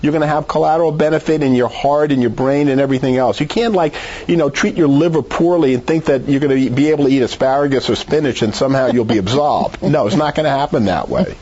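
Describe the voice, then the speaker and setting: Guy doctor on an interview, raspy, pushing his advice hard, kinda muffled audio. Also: medium pitch, quick pace, a little mellow. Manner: emphatic, persuasive, rhetorical questions. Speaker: doctor, expert guest. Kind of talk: media interview, conversational health advice.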